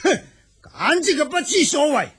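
An actor playing a proud, indignant Xiongnu king in a radio drama, with a husky, rough voice and an emphatic, halting delivery. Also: extremely high pitch, very animated, fairly bright tone, exaggerated voice.

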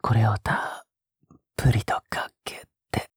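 A male actor playing a possessive young captor in an intimate audio drama, coaxing softly and often slipping into a whisper. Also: slow, dry recording, studio quality, natural voice. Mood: doting, obsessive. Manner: soft, coaxing, often dropping to a breathy whisper. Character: possessive, sickly-sweet young male captor doting on a pet-like girl. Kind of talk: intimate audio drama acting, spoken to the listener.

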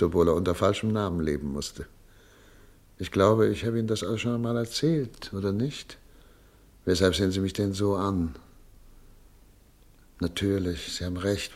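A man playing a weary older exiled doctor in a radio play, speaking with quiet, deep resolve. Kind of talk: 1950s radio play acting.

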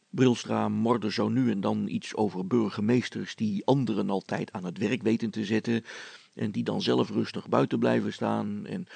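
A man's voice: fairly low pitch, vocal fry, darkish tone, husky, no echo. A man narrating a scripted story as a storyteller.

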